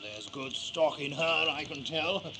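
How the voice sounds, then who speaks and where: A man playing a gloating, rowdy gentleman plotter in a historical audio drama, with a coarse, mocking manner. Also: on the high side, fairly even intonation, a little slow, music in the background. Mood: leering.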